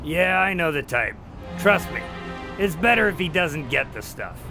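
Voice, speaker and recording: An actor playing a cynical, self-centred skeptic in an audio drama, blunt and sarcastic. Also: male voice, on the high side, big pitch swings, moderate pace, music in the background. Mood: dismissive.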